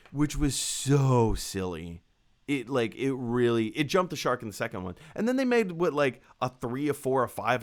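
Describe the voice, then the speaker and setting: A man with a mid-pitched voice that swings widely up and down as he talks. He sounds like a show host chatting casually with viewers, offering opinions conversationally, repeating points for emphasis and correcting himself along the way. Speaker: host of a live movie discussion show. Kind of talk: casual opinionated movie commentary to live viewers.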